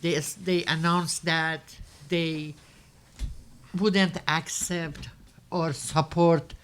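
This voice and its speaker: Older iranian-accented woman on a panel, low voice, halting, keeps repeating words. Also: little pitch movement, a little hiss or hum. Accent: Persian. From Iran. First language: Persian. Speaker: guest author. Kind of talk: panel discussion.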